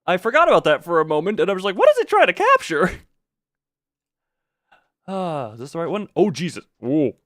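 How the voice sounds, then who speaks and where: Goofy man streamer, high animated voice. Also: brisk, pauses often, no echo. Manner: goofy, rambling, self-interrupting. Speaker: game streamer. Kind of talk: casual livestream chat while setting up a game.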